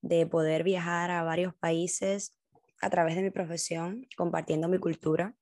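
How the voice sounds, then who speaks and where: Young Cuban woman, solo podcast host; low-set, dry, close voice; reflective and heartfelt delivery. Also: creaky, darkish tone, no room sound. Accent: Cuban Spanish. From Cuba. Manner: reflective and heartfelt, with thoughtful pauses.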